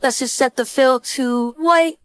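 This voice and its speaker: A woman instructor giving a casual screen-share software tutorial, informally thinking aloud step by step into a close mic. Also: high voice, unhurried, clear, resonant tone, no room sound. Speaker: design instructor.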